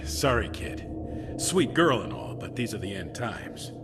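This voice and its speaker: An actor playing a ruthless, cynical criminal in a video game, taunting and condescending. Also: male voice, lowish voice, sing-song, measured pace. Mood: smug, callous. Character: smug, ruthless older male criminal condescending to a youngster. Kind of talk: scripted game dialogue. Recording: long reverb, music behind.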